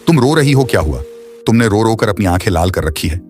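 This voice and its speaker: A man voicing a harsh, dismissive husband in an animated story. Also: deep voice, brisk, close to an everyday voice. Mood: puzzled. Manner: harsh, scornful. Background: background music.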